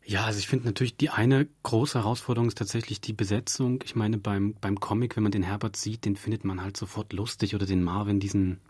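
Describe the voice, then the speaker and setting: A man's voice: fairly low pitch, fairly even intonation, fast, hardly any pauses, a little mellow, husky, no echo. A man speaking as a radio drama director in an interview, thinking aloud in long, loose sentences. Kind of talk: conversational explaining in interview. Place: dry radio studio.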